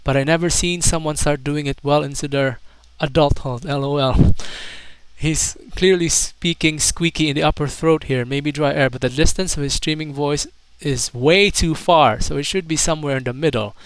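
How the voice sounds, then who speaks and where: A man's voice: on the high side, moderate pace, clear, resonant tone, no echo, rough recording. A man doing casual video commentary, reading out online comments in an amused, mocking way.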